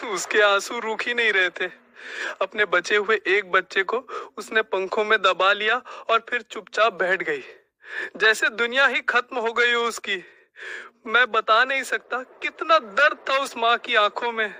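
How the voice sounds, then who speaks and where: Cartoon parrot friend, man performing: very high, piercingly bright, urgent, dramatic, faint background noise. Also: unhurried, heavily acted. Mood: grieving. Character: alarmed, urgent parrot friend, brotherly and emotional. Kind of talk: children's cartoon story acting.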